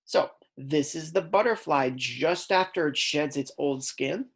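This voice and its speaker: Female kindergarten teacher, low register, unhurried read-aloud for small children, deliberate emphasis on key words. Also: slightly rough.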